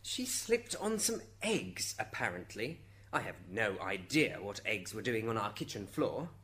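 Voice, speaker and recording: A man's voice: fairly low pitch, sing-song, measured pace, fairly bright tone. A man playing an aggrieved dead husband giving witness testimony in an amateur radio drama. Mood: resentful.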